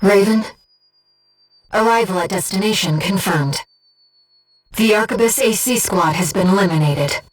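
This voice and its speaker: Woman voicing a gentle, composed female companion, distant sound. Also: mid-range voice, normal speed, pauses often, soft background music, natural voice. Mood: composed, informative. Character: gentle, earnest female disembodied mind companion guiding a pilot. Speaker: mission support operator in a video game. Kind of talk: scripted video game voice acting, mission briefing.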